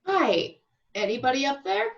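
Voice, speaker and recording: A woman's voice: high-pitched, sing-song, normal speed, gravelly, lo-fi sound, exaggerated voice. A woman playing a skeptical, polite and hesitant actress in an amateur script read. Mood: hesitant, curious.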